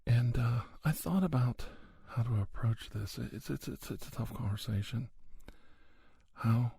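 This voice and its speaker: A man speaking softly in an ASMR monologue, in a halting, reflective manner, as a podcast host. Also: mid-range voice, creaky, slightly rough, no echo. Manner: slow, halting, reflective delivery. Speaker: podcast host playing a conversation counselor. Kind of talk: soft-spoken ASMR monologue.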